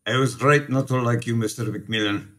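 A compassionate Polish immigrant priest, played by a man in a radio play. He is a man of middling pitch who speaks formally and earnestly, pleading for others and refusing to back down. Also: moderate pace, fairly bright tone, close to an everyday voice. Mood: firm, protective.

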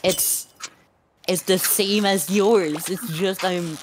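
A woman in a casual live stream chat, teasing her friends with slow, deadpan mock threats. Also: mid-range voice, noisy background. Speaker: vtuber guest playing a shark character. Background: game music.

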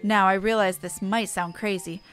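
A woman's voice: medium pitch, steady tone, moderate pace, fairly bright tone, soft background music, close to an everyday voice. A woman voicing a pompous, feeble, bumbling male scholar from a fantasy game, with a wordy, theatrical, dithering delivery. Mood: intrigued.

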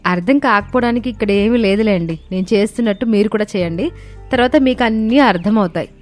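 A clever, resourceful young wife from a cartoon family story, voiced by a woman. She explains her ideas patiently in an even, mid-pitched voice, with faint music underneath. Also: quick pace, close to an everyday voice. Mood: calm.

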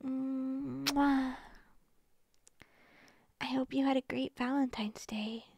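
A sugary, doting young girlfriend with a clingy, possessive edge, played by a woman. Her voice sits high and sweet, drifting into soft close whispers, recorded dry and near the mic for ASMR. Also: steady tone, extremely slow, vocal fry, no room sound, full character voice. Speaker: ASMR voice actress. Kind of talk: ASMR roleplay.

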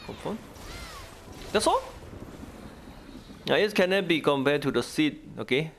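Gravelly man with lively, swinging pitch. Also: a little slow, slight background noise. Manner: thinking aloud in short, repeated phrases; non-native, broken English. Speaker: gaming video host. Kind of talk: casual gameplay commentary.

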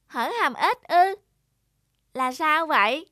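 High, friendly schoolchild. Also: female voice, lively intonation, slow, pushed and bright, no room sound, studio quality, full character voice. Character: friendly, confident young schoolchild. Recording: music under the voice.

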